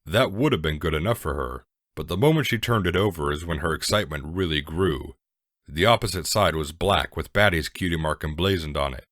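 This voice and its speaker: A male narrator with a remarkably deep voice reads scripted storybook prose. The recording is dry, with no room sound around him. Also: expressive, brisk, a bit bright, dry recording.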